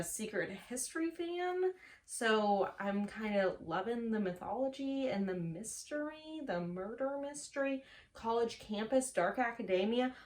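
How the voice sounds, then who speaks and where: A woman chatting casually to camera as a book reviewer, playful and self-mocking, stretching words for dramatic emphasis. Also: mid-range voice. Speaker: book reviewer on a video channel.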